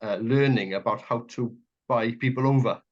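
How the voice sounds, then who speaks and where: A man's voice: mid-range voice, moderate pace. A man with a Welsh accent hosting a conversational political interview, talking emphatically and at length in piled-up clauses. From Wales. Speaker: radio interview host. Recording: dull, muffled lo-fi audio.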